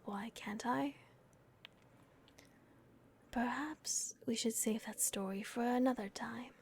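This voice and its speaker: A woman voicing a gentle, protective female vampire caretaker in a soft, hesitant whisper on a close microphone. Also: a little high, slow pace, gravelly, a little hiss or hum. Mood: gentle, solemn. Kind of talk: whispered ASMR roleplay.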